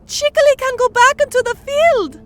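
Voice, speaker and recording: A woman voicing an excitable, childlike male harpy who speaks in broken, simple third-person sentences in a fantasy audio story. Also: very high voice, moderate pace, faint music behind. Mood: excited, eager.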